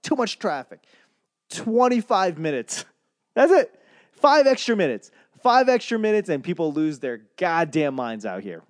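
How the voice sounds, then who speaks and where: A young comedian from Boston giving a casual, profane, rambling rant in a solo monologue. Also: male voice, high-pitched, sing-song, unhurried, dry recording, studio quality. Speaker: comedian and podcaster.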